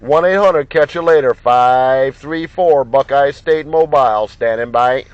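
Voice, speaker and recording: A man's voice: medium pitch, unhurried, noisy background. A man making CB radio contacts, repeating names and call signs in chatty operator patter. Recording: dull, muffled lo-fi sound.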